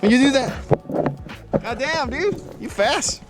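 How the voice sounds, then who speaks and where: A man's voice: very high-pitched, animated, slow, music in the background. A man hosting a casual vlog in jokey, slangy bro talk. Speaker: vlog host filming a truck build.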